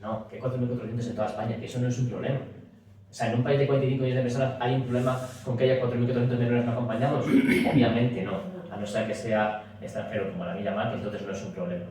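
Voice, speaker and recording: A man speaking at a political meeting, pushing his points rapidly and looping back with restatements, recorded in a meeting room. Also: medium pitch, flat delivery, a little mellow. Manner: rapid, talks in restarts with frequent "es decir". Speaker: speaker at a party talk. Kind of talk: public talk and Q&A. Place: meeting room at a party office. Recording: roomy echo, distant mic, faint hiss.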